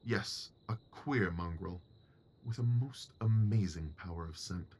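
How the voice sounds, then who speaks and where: A man playing a shrewd, commanding Victorian gentleman detective in an audio drama, precise, assured and dryly superior. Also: deep voice, a little slow, husky, full character voice. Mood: brisk, instructive.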